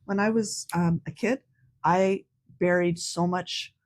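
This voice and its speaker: A woman co-hosting a podcast while visiting a friend in hospital, chatting casually with a loud, open manner. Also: lowish voice, fairly even intonation, slow. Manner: loud, laughs big. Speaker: podcast co-host. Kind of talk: casual chat. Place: hospital room.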